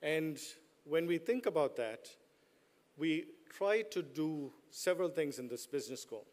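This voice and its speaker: A man's voice: on the high side, animated, measured pace, a little mellow. A middle-aged man speaks into podium microphones, addressing graduates with a slightly raised voice. He drops playful jokes at the crowd between points. The sound trails off in the long reverb of a large hall. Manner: joking, playful asides to the audience. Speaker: business school dean giving a commencement speech. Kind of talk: commencement address.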